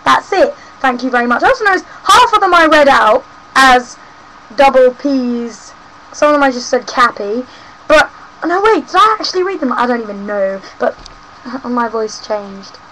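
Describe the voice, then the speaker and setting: Chatty high-voiced young London woman. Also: expressive, normal speed, bright, forceful tone, a little hiss or hum, rough recording. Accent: London English. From South West London, England. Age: young adult. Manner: chatty, cheeky and ranting. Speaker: vlogger. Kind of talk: casual rambling vlog. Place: home room.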